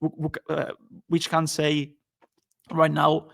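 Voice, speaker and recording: Flat-toned man hosting a podcast. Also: on the high side, vocal fry. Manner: halting, many restarts, upbeat. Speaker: podcast host. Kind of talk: casual football podcast chat.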